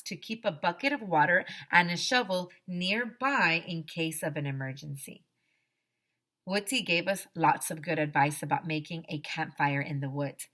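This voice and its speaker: A woman reads to children as their teacher, with a bright, forward voice. Her delivery is expressive and emphatic, moving widely up and down in pitch. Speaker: teacher reading aloud to children. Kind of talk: animated children's story read-aloud.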